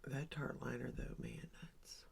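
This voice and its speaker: A woman's voice: extremely low pitch, huge pitch swings, unhurried, gravelly, whispered. A woman in her forties chatting casually while doing her makeup, rambling and thinking aloud with lots of ums and likes. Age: 40s. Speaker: beauty vlogger. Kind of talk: casual get-ready-with-me makeup chat.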